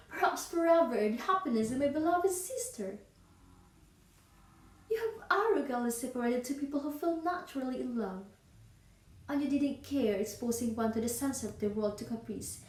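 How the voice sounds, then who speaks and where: A woman playing a proud, indignant young gentlewoman in a theatrical period monologue, speaking in a formal, emphatic, accusing way. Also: a little high, close to an everyday voice. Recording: roomy echo, distant mic.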